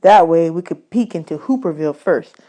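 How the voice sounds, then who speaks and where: A woman's voice: lowish voice, expressive, brisk, dark tone. A woman narrating a children's novel as a read-aloud audiobook. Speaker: audiobook reader.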